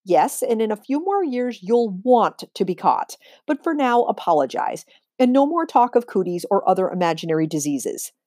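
A storybook schoolteacher played by a woman reader, exasperated but wry. She lectures firmly and with measured weight, her mellow voice moving expressively up and down. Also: medium pitch, very animated, dry recording, studio quality, voice pushed up, close to an everyday voice. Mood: stern.

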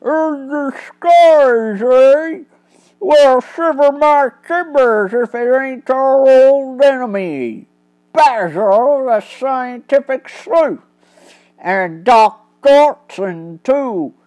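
A woman's voice: sits high, expressive, very slow, mellow, voice pushed up, full character voice, poor audio. A woman voicing a gloating male forger who sneers in pirate-like slang.

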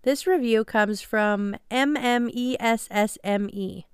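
A woman's voice: fairly high pitch, takes it slowly, clean tone, no echo, studio quality. A woman hosting a podcast in a casual, upbeat and self-deprecating chat, a little nasal from a passing cold. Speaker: podcast host.

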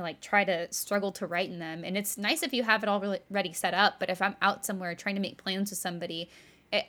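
A woman co-hosting a podcast talks at a middling pitch in casual chat. She rambles a little, says "like" often and keeps an easy, conversational flow. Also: fairly bright tone. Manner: chatty, rambling, laughs lightly, uses "like" a lot. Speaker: podcast co-host.

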